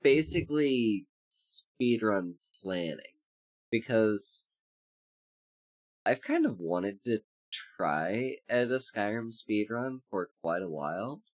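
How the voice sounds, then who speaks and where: A VTuber streamer in a casual livestream chat, congested and hoarse, rambling with many fillers while greeting chat by name. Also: medium pitch, animated, slow.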